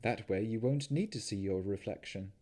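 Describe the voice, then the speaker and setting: A man voicing a gentle young woman warlock, with a higher, pleading, earnest delivery. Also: moderate pace, lightly acted. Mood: coaxing.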